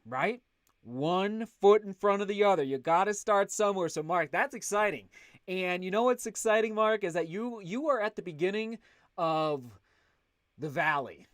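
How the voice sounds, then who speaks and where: Chatty guy on a livestream, loud voice, fairly high pitched, dry close mic. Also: no room sound. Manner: upbeat, chatty, reads out viewer comments. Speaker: livestream host. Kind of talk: casual livestream chat.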